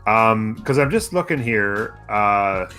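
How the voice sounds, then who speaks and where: A man co-casting a game in casual live commentary, halting as he thinks aloud. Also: on the low side, lively intonation, bright, forceful tone, soft background music, low-quality recording. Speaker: co-caster and streamer.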